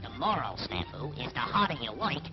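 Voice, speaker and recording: An actor playing a gruff, wisecracking little fairy army sergeant with slangy, streetwise rhymes in a 1940s wartime cartoon. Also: male voice, medium pitch, sing-song, fairly quick, lightly acted. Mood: scolding, sardonic. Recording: dull 1940s film soundtrack, loud music.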